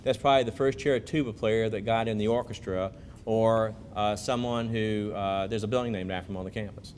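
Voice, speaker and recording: A man's voice: fairly low pitch, fairly even intonation, brisk, darkish tone, a little hiss or hum. A man, a college admissions officer, giving a conversational talk to parents and taking their questions. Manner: conversational, rhetorical questions to the audience. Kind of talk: presentation to parents with Q&A. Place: school event hall.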